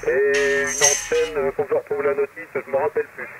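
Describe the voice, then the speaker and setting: A man's voice: medium pitch, completely flat delivery, brisk, music in the background. A man chatting casually over radio as a caller. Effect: radio transmission. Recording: noisy, tinny radio transmission.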